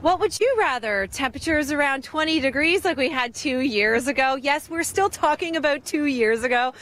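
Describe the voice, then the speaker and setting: A woman's voice: high-pitched, animated, moderate pace, a bit bright, slight background noise. A woman giving upbeat, chatty weather reports live from outside a garden show, often laughing. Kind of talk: live outdoor weather hits.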